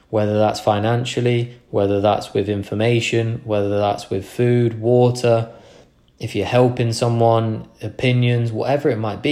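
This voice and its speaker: A man's voice: lowish voice, warm tone. A young man hosting a podcast, talking through a casual motivational monologue in an earnest, rambling way with frequent restarts. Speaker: podcast host.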